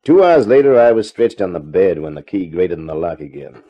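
An actor playing a flirtatious, hard-boiled private detective in a 1950s radio drama, with a slangy, wry, breezy delivery. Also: male voice, sits low, big pitch swings, a little fast, muffled recording, slight background noise. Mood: wry narrating. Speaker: actor in the lead part of a detective radio serial. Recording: 1950s radio drama recording, music behind.

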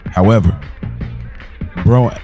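An American man co-hosting a casual podcast, talking in an animated, slangy way and repeating phrases for emphasis. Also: on the low side, takes it slowly, highs cut off. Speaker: podcast co-host. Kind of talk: casual hip-hop talk podcast. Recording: music playing underneath. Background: music.

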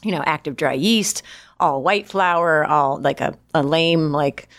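A woman's voice: low-pitched, a little slow, a bit bright, no echo, clean studio sound. A woman chef and restaurant owner answering interview questions in a loose, rambling way, with frequent restarts and fillers.

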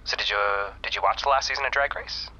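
An actor playing a patient, polite young customer service agent in an audio comedy, heard through a phone. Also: male voice, medium pitch, quick pace, pushed and bright. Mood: friendly small talk. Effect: phone line filter. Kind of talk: naturalistic audio comedy acting. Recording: dull, band-limited phone line.

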